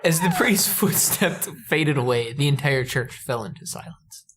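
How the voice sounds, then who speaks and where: A man's voice: medium pitch, animated, moderate pace, fairly bright tone. A man reading narration aloud from a visual novel for a gaming show.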